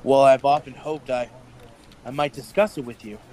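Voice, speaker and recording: A man's voice: mid-range voice, steady tone, moderate pace, darkish tone, natural voice. An actor playing an anxious, furtive male party clerk in a radio drama, with a hesitant, nervous manner. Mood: flustered, deferential.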